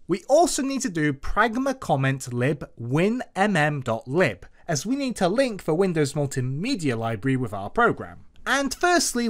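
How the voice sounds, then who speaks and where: A tutorial host narrating a scripted programming lesson step by step in an instructional manner. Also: medium pitch. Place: voice-over to a screen recording.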